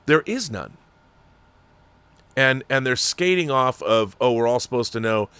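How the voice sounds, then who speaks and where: Guy ranting about a tv show, lots of restarts, mid pitch, dead dry sound. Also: lively intonation, unhurried, a bit bright, smooth, no room sound. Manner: emphatic, critical, many restarts. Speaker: host reading viewer letters. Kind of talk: opinionated commentary.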